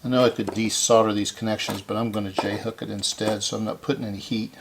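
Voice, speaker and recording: A man with a low, gravelly voice talks through a hands-on repair in a casual way. He explains each step as he works, thinking aloud. There is some faint noise behind him. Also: flat delivery, quick pace. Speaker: repair video host.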